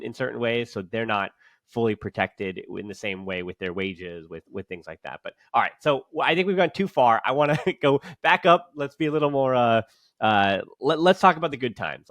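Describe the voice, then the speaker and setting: A man's voice: fairly low pitch, fairly bright tone, no room sound. A man hosting a casual podcast, talking in long rambling sentences with many restarts and fillers. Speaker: podcast host.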